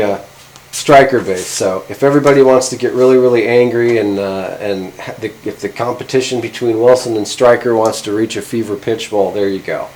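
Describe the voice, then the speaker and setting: A middle-aged man with an average-pitched voice hosts a hands-on gear demonstration. He talks informally, rambling and hesitating as he goes. He is recorded in a workshop from a few feet away, with slight noise behind him. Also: darkish tone, rough recording. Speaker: video host. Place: indoor garage workshop at a workbench.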